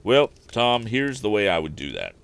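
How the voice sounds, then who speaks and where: A genial, absent-minded middle-aged inventor and father from an adventure storybook, voiced by a man. He speaks low and expressively, with a warm, questioning manner. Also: huge pitch swings, moderate pace, creaky, clear, resonant tone, exaggerated voice. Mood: innocent, amused.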